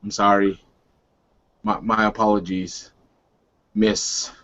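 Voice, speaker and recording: Through slightly muffled audio comes a proud male werewolf of the tabletop game , voiced by a man. He is low and slow, choosing courtly, formal words with a temper underneath. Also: level tone, a bit bright. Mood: apologetic, formal.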